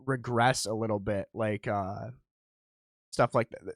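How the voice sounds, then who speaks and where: A man's voice: fairly low pitch, fairly even intonation, measured pace, dry recording, clean studio sound. Candid rambling man in his thirties. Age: 30s. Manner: rambling, candid, self-deprecating. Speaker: podcast host. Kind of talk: casual podcast chat.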